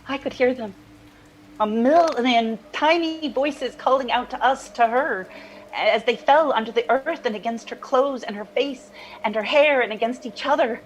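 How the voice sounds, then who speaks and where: An actress playing a haunted, enraptured woman recounting a dream in a halting, wistful monologue. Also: a little high, muffled recording, a little hiss or hum, lightly acted. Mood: tender, wistful. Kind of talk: dramatic interview-style monologue.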